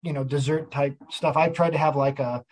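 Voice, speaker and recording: A man co-hosting a podcast and talking about his trip in a loose, easygoing way. His speech is heavy on "like" and "you know," and his voice sits a little high. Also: fairly even intonation, brisk, low-quality recording. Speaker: podcast co-host. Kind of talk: casual chat.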